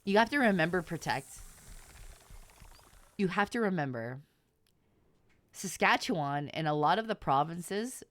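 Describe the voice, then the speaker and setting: A woman's voice: lowish voice, unhurried, pauses often, no room sound. A middle-aged woman streamer chatting casually and emphatically, with plenty of swearing. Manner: animated, emphatic, swears freely. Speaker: VTuber streamer. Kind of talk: casual livestream chat.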